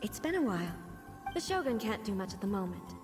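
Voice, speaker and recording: A woman playing an aloof, solemn female ruler in a video game, speaking in a formal, measured, deliberate way. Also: medium pitch, very animated, close to an everyday voice. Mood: calm, matter-of-fact. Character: composed regal female ruler, aloof, solemn, slightly naive. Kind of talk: video game character acting. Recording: music playing behind the voice.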